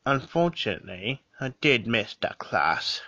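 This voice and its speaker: A man playing a defensive gentleman suspect in an audio drama, formal and earnest. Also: medium pitch, big pitch swings, measured pace, dry recording, highs cut off, full character voice. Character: defensive, well-spoken gentleman murder suspect. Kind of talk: scripted audio drama acting.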